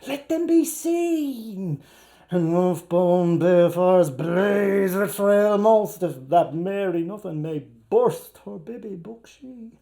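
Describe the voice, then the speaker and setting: A man's voice: sits high, lively intonation, mellow. A man with an Irish accent giving a theatrical, playful literary reading, declaiming and drawing out words for a live audience. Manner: declamatory, dramatic, playful delivery with drawn-out words. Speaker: actor reading aloud.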